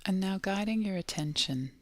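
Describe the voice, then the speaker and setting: A woman leading a guided body scan meditation in a gentle, measured manner, leaving long pauses between short phrases. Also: mid-range voice, expressive, no echo.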